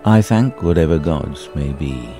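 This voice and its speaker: A man giving a solemn, dramatic inspirational poetry reading, slow and deliberate with long pauses. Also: extremely low pitch, lively intonation, mellow, soft background music. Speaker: poetry reader.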